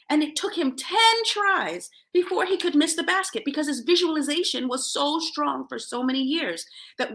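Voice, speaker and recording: Middle-aged female self-help host, high voice with marked creak, emphatic motivational reading with pauses for weight. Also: sharp tone. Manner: emphatic, dramatic pauses, chuckles between phrases. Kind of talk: reading aloud and commenting on a motivational book chapter.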